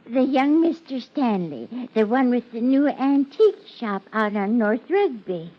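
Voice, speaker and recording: A fluttery small-town spinster landlady in an old radio play, nervous and easily smitten. Her high voice wavers widely and she takes her time, stammering and hesitating. The recording is muffled and dated. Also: very animated, unhurried. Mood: prim, puzzled. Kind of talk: 1950s radio drama acting.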